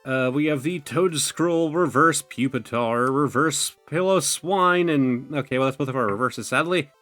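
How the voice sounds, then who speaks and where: A man's voice: fairly high pitch, unhurried, fairly bright tone, soft background music. Rambling young man with a fading voice. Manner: rambling, full of "like" and "you know". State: voice going out, thin and washed out. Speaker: card-opening YouTuber. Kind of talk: casual chatty commentary.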